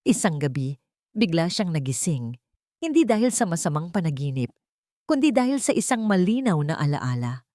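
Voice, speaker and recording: Female storyteller, lowish voice, dry sound, measured dramatic delivery of scripted romance narration. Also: big pitch swings, mellow, no room sound.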